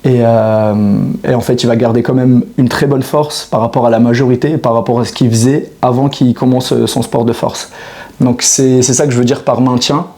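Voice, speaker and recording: A man in his thirties speaks as a fitness coach in an unscripted monologue. His voice is mellow and relaxed, sitting at an ordinary middle pitch. He circles back on his phrases, pausing and restarting as he works through his ideas. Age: 30s. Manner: hesitant, many restarts and fillers, reflective. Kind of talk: casual monologue to camera.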